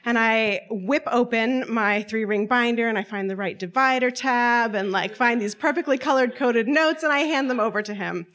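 A woman's voice: on the high side, normal speed, hardly any pauses. A woman in her twenties telling a personal story to a live audience on stage, wry and often laughing as she talks. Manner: lively, wry, often laughing through lines.

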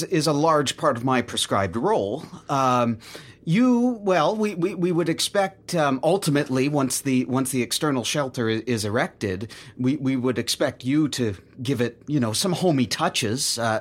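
An actor playing a fussy, officious supervisor in a sci-fi comedy audio drama, hesitant and stammering with bureaucratic politeness. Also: male voice, medium pitch, expressive, moderate pace, close to an everyday voice.

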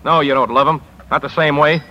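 Fast, hard-edged sardonic newspaper editor. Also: male voice, a little high, steady tone, sharp tone, highs cut off. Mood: pleading, insistent. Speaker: radio drama actor. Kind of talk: 1950s radio drama acting. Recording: 1950s radio broadcast with faint noise.

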